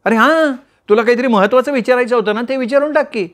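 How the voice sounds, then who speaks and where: A man voicing a chatty, carefree young woman who teases her friend in quick banter in a read-aloud story. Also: very high voice, a bit bright, voice pushed up. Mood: teasing. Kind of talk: storytelling with voiced characters.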